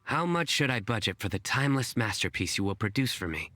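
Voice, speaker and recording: A man's voice: fairly low pitch, big pitch swings, brisk, pushed and bright, slight background noise. An actor playing a proud young man obsessed with a card game, talking earnestly and formally. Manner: earnest, formal, self-assured. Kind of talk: game voice acting.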